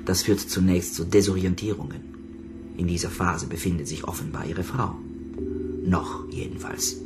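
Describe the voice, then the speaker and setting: An actor playing a calm, authoritative psychotherapist in a radio drama, speaking formally and insistently in measured phrases. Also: male voice, sits low, music in the background, natural voice. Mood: probing, controlled. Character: calm, probing male psychotherapist, authoritative and persistent. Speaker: actor in a radio crime play. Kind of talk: German radio drama acting.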